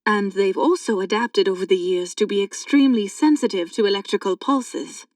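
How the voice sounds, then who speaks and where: An actress playing a knowing woman in a sci-fi audiobook drama, speaking in a clear, measured, explanatory way. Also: medium pitch, darkish tone, no room sound, studio quality, distant mic, lightly acted. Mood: calm explaining. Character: two sci-fi women, a knowing guide and a curious thief. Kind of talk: full-cast audiobook dialogue.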